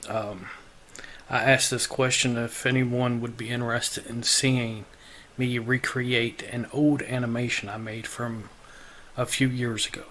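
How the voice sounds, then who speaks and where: A man with a weak voice that is starting to give out, casually talking through a software tutorial in an informal, self-deprecating way. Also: mid-range voice, little pitch movement, measured pace, slight background noise. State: weak voice, losing his voice. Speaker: tutorial host. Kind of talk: casual screen-recorded software walkthrough.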